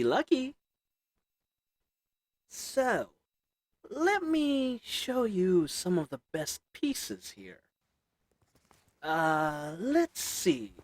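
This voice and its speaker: A man's voice: high voice, creaky, no room sound, full character voice. A man doing a wheedling old goblin merchant. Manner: wheedling folksy drawl. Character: sly little old goblin merchant, shady.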